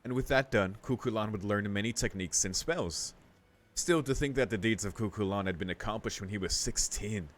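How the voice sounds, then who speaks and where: A man's voice: lowish voice, a little fast. A man hosting a gaming video, reading aloud and commenting in a casual, rambling, slangy way.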